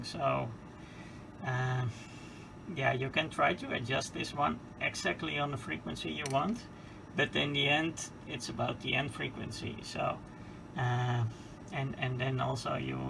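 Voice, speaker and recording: A man giving a casual hands-on explanation in a halting, hesitant, matter-of-fact way. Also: mid-range voice. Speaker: hobbyist presenter of radio repair. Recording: clear background noise.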